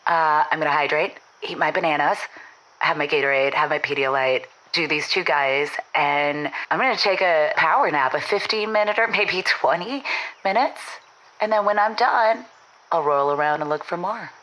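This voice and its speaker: Excitable, bright-voiced frazzled caller. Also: female voice, sits low, normal speed, muffled recording. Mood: giddy, worn out. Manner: breathless, rambling, excitable. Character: frazzled, defiant wronged wife calling a radio show. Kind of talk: comedic audio drama of a call-in show.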